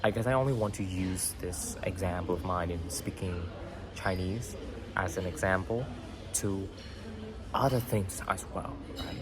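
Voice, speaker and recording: A young man with a very low voice talks loosely through a motivational story, his first language Vietnamese. He rambles with fillers and keeps checking in with "right?". Clear music plays behind him the whole time. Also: measured pace. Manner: rambling, many fillers and tag questions. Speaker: podcast host. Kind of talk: casual motivational monologue. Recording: background music under voice.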